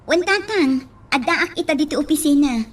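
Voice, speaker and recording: Woman playing a high-voiced affectionate daughter. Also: expressive, moderate pace. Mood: earnest, affectionate. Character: affectionate, dutiful grown daughter. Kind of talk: Ilocano radio drama acting.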